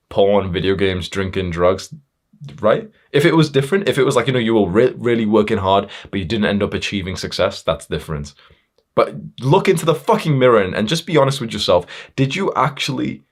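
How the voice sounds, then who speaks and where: Casting: young male self-improvement coach, very low voice, blunt tough-love monologue with casual swearing. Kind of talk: motivational monologue to viewers.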